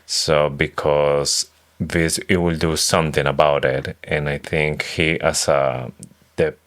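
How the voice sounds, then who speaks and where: A man co-hosting a casual book-club podcast, laying out his predictions in tentative, halting phrasing. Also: extremely low pitch, little pitch movement, fairly bright tone. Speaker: podcast co-host.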